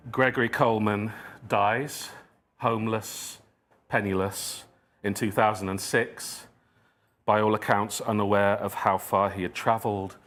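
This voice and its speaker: Low-voiced man giving a reflective talk. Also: fairly bright tone. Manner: reflective storytelling, wry asides. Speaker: speaker giving a talk, writer of plays. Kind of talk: prepared talk with personal asides.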